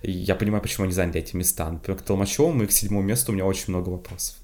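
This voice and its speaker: A young man from Moscow co-hosting a podcast in casual chat, talking quickly and breaking into laughs. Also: low-pitched, a crowd in the background. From Moscow, Russia. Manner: quick, lively, laughs often, restarts. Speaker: podcast co-host.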